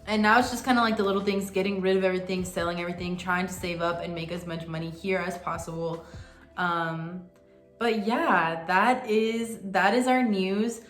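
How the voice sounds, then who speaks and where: A young woman talking casually and earnestly to her viewers, sometimes in quick bursts. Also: medium pitch, fairly even intonation, fairly bright tone, roomy sound, soft background music. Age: young adult. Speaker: vlogger. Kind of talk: casual chat to camera.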